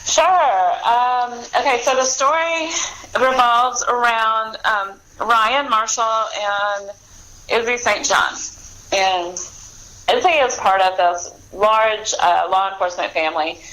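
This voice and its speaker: A woman's voice: fairly high pitch, slow, sharp tone, low-quality recording. An American woman talking casually as an author in an interview, in a chatty, rambling way.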